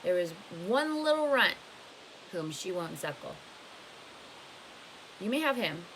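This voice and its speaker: A woman's voice: on the low side, sing-song, slow pace, a bit bright, a little hiss or hum, exaggerated voice, rough recording. A woman reading a storybook as a blunt, scornful old woman who gives emphatic advice. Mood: firm, instructive. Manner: emphatic, questioning, instructive.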